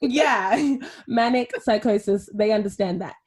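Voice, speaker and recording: A woman's voice: medium pitch, measured pace, darkish tone, husky, no echo, studio quality. A woman hosting a podcast, friendly and chatty with many fillers. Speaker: podcast host. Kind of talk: casual podcast chat.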